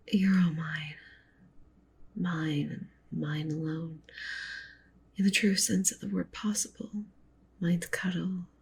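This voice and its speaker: A woman playing a doting, possessive yandere wolf witch girlfriend in an intimate, breathy whispered ASMR roleplay. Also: dark tone, slight background noise. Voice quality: breathy whisper with low creaky murmur. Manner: intimate, tender, clingy, coaxing.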